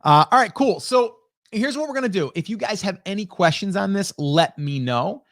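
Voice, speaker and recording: A man in his late forties speaks in a fairly high register on a close, very dry broadcast microphone. He hosts a live stream as a coach, chatting informally and addressing viewers directly with frequent check-ins. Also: animated, moderate pace, a bit bright, no echo. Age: late 40s. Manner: conversational, greets viewers by name, rhetorical check-ins. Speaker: host, online marketing coach. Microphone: close broadcast dynamic microphone.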